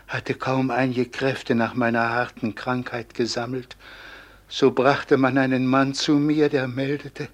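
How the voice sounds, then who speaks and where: Slow, husky, wretched old nobleman. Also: medium pitch. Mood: lamenting. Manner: slow, lamenting, solemn declamation. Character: wretched, starved old nobleman father, imprisoned in a tower. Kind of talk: classic German radio drama acting.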